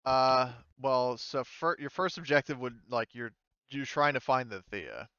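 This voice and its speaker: A man narrating a tabletop game casually as game master, halting and informal in his delivery. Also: medium pitch, lively intonation, a bit bright, clear, resonant tone, no room sound, highs cut off. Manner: halting, informal, mild swearing.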